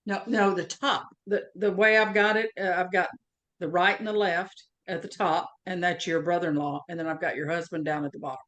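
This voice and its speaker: A woman's voice: on the low side, steady tone, brisk, a bit bright. Playful woman hosting a chat show. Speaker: host.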